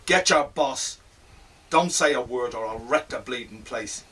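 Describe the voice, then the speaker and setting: Male actor as bullying Irish thug, rough husky voice, radio drama, distant mic. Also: medium pitch, unhurried, pushed and bright. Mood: threatening. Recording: distant from the microphone.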